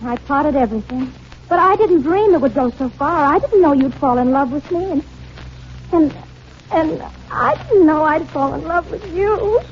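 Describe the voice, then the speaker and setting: An actress playing a guilt-stricken, lovesick drama coach in a 1940s radio play, earnest, hesitant and melodramatic. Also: female voice, sits high, lively intonation, normal speed. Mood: confessing. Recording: muffled 1940s radio recording with noise.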